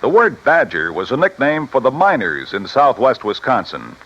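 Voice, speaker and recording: A man's voice: mid-range voice, wildly swooping pitch, moderate pace, a bit bright. A man with an American accent announcing in a formal, declamatory mid-century radio style. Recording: dull vintage radio recording.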